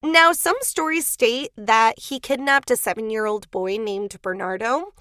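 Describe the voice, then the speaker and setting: A high-pitched woman with a slightly raspy voice tells a legend on a podcast, playful and quick to laugh. The sound is close and dry, with no room around it. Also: sharp tone, dry recording. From Cuban family background. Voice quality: raspy morning voice. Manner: playful, laughs often, acts out quotes. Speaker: podcast co-host. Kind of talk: casual storytelling chat.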